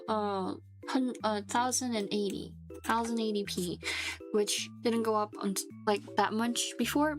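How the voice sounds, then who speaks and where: Sick woman rambling on a stream. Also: mid-range voice. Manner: rambling, many restarts, frequent laughs. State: sick with a fever, dry throat. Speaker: vtuber streamer. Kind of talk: casual live stream chat. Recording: background music under the voice.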